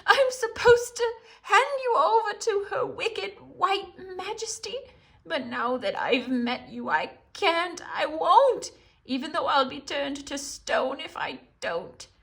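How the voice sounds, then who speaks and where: A woman voicing a gentle, emotional male faun in a storybook, dramatic and plaintive. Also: very high voice, lively intonation, slow, vocal fry, voice pushed up, exaggerated voice. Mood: distraught.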